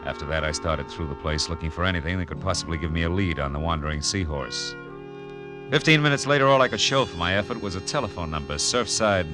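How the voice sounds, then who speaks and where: An actor playing a tough, wry male private detective in a vintage radio drama, clipped and casually probing. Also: deep voice. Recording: old radio drama recording.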